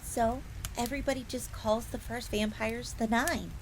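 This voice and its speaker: A woman's voice: fairly high pitch, fairly even intonation, moderate pace, slight background noise, close to an everyday voice. A woman voicing a young, polite and eager fox maid who tells old lore in a roleplay audio drama. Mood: earnest, explaining. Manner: refers to herself in third person, explanatory.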